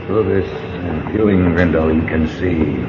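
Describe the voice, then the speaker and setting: Deep-voiced, ominous general. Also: male voice. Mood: measured, grave. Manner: measured, formal, hesitant pauses. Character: coldly formal, ominous male military general. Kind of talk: radio drama acting. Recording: dull, noisy radio drama recording.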